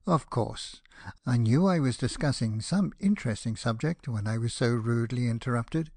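A man's voice: medium pitch, moderate pace, darkish tone, dry recording, studio quality, close to an everyday voice. Man voicing a pompous, easily wounded firework rocket. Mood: offended. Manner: pompous, indignant, self-pitying declaiming. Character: pompous, self-obsessed, easily offended male firework rocket. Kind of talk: dramatized storybook reading.

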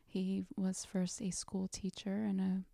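A young woman from South Korea, speaking as an interview guest in a soft, thoughtful way with frequent pauses. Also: medium pitch, monotone, creaky, dry recording, studio quality. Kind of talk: reflective interview talk.

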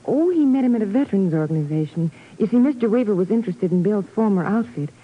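Earnest young widow, tinny old recording. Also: female voice, medium pitch, lively intonation, fast talker, husky. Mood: earnest, distressed. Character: earnest, grieving young widow, determined yet anxious. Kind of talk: 1950s radio drama acting. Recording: thin, narrow-band vintage radio recording.

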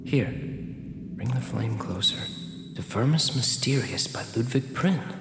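A man acting in a radio drama as an uneasy, curious scholar exploring, speaking in a hushed, breathy, apprehensive way. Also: mid-range voice, animated, takes it slowly, exaggerated voice. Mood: excited discovery. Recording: echoey reverberant hall, music behind.

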